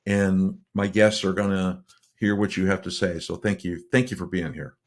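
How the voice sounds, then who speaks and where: Male podcast host, low voice, loose and rambling, lots of "you know" and restarts. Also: steady tone, normal speed. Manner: rambling, friendly, many fillers and restarts. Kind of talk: casual interview chat.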